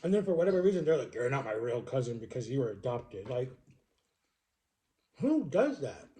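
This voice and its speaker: A man's voice: mid-range voice, very animated, normal speed, dark tone. A man in his thirties telling personal stories to viewers in a casual, chatty vlog style, rambling and correcting himself with emphatic repetition. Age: 30s. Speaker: vlogger.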